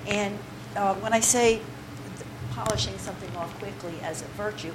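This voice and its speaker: A woman with a slightly low voice leads a poetry workshop in a loose, conversational way, wandering off on side paths with plenty of ums and restarts. The recording carries a steady layer of noise behind her. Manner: chatty, digressive, with many fillers and restarts. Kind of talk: informal workshop talk to a group. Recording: noisy room recording.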